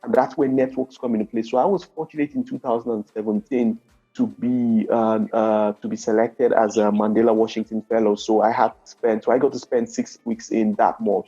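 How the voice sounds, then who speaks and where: Mellow-voiced Nigerian man explaining. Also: lowish voice, fairly even intonation, fairly quick, slightly rough, lo-fi sound, soft background music. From Nigeria (Lagos). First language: Yoruba. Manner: explains step by step, with many restarts and repeated words. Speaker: guest, game developer. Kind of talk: interview conversation.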